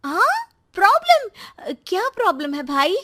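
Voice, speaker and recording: A woman's voice: high-pitched, measured pace, fairly bright tone. A woman voicing a greedy, scheming young daughter in a moral story, insistent and insinuating. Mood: eager, nosy.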